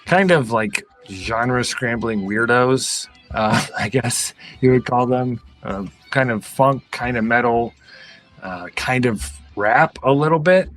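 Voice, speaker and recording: A man's voice: medium pitch, slow, a bit bright. A man on a podcast panel giving casual commentary on music. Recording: faint music behind the voice. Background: faint music.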